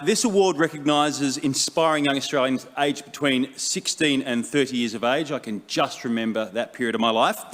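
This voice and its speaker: A man hosting an awards ceremony, asking gentle, measured questions on stage. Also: medium pitch. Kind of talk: onstage interview and emceeing. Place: large event hall. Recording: long hall reverb.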